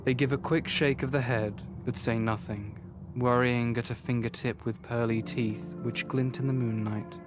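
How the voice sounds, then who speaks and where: A man reading scripted literary narration for a visual novel in a measured, formal storytelling manner. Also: mid-range voice, steady tone, over music.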